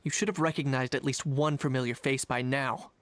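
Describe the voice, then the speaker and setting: A man acting a deadpan, skeptical young man. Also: medium pitch, dry recording, lightly acted. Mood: cool disbelief. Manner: deadpan, dry, measured. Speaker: voice actor in a game. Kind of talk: game voice acting. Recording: music behind the voice.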